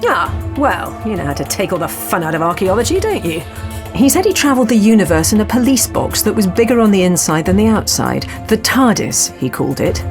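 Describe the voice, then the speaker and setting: A woman's voice: mid-range voice, very animated, fairly quick, over music. A woman playing a wry archaeology professor narrating her own adventure in an audio drama. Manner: wry, storytelling. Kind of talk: dramatic first-person scripted narration.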